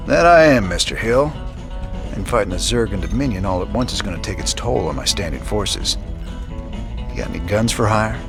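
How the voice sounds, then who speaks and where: An actor playing a weary, wry, good-hearted rebel commander with a Southern US accent in a video game. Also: male voice, fairly low pitch, animated, normal speed, music in the background. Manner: folksy, plain-spoken, wry. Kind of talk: video game cutscene acting.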